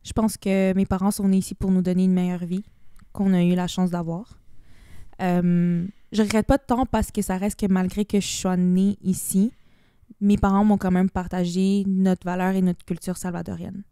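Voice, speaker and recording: A woman's voice: fairly low pitch, level tone, moderate pace, dark tone, clear, resonant tone, no room sound, studio quality. A young woman with a Québécois accent, chatting as a podcast guest, mixing in English phrases and restarting her sentences. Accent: Québécois French. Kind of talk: casual podcast conversation.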